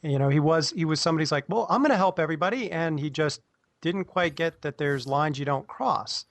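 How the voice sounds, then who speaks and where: Male panelist, somewhat high voice, casual opinion talk, dry sound. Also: animated, a little fast, no room sound. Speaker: podcast panelist.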